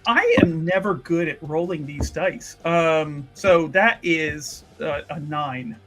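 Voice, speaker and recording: A man improvising third-person narration as a tabletop roleplaying player, casual and halting, often correcting himself. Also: a little high, fairly bright tone, faint music behind, poor audio.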